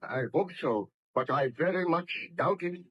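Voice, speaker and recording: An actor playing an anguished, guilt-ridden baron scientist in old-time radio horror, speaking in a formal, theatrical, declamatory way. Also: male voice, fairly high pitch, moderate pace, dull audio, lightly acted. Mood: grave. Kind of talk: 1930s radio horror acting. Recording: noisy old-time radio drama recording.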